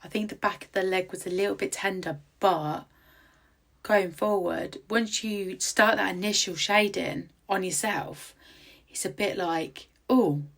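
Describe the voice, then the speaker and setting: A tattoo artist with a croaky voice, chatting casually in a rambling voice-over with many ums, recorded outdoors in quiet countryside. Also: female voice, medium pitch, unhurried.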